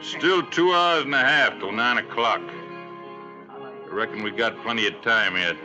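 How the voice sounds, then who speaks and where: An American actor playing a surly, menacing frontier troublemaker with a drawling delivery in an old radio western. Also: male voice, medium pitch, a little slow, close to an everyday voice. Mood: stubborn menace. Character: surly, taunting Old West tough, adult man. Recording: dull old radio recording, music.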